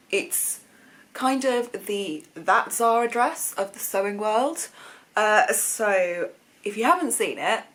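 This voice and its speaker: A British woman with a slightly croaky voice, hosting a chatty sewing vlog in an informal, rambling way, often correcting herself. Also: mid-range voice, a bit bright. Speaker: sewing vlogger, channel host. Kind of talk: casual chatty vlog to camera.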